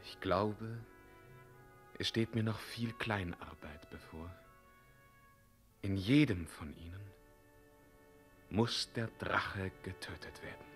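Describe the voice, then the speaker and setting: An actor playing a bitter, weary heroic knight in a classic German radio play, theatrical, moving between hushed and thundering delivery. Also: male voice, low voice, takes it slowly, pauses often, faint music behind. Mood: grave, tender.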